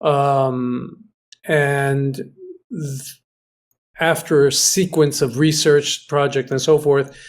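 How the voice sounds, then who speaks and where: A man from Greece talks as an interview guest in a mid-pitched voice. His delivery is conversational and reflective, halting often as he searches for the right word. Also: level tone. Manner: hesitant, halting, pausing to find words. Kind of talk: conversational recollection. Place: remote internet video call.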